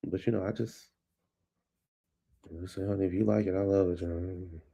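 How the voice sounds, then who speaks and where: A man's voice: sits low, fairly even intonation, moderate pace, vocal fry, dark tone, breathy edge. A man with a stuffed-up nose and a fading voice, chatting casually and rambling as a vlog host at his computer. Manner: rambling, loose and chatty, calls listeners baby. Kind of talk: casual solo chat. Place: at home at a computer.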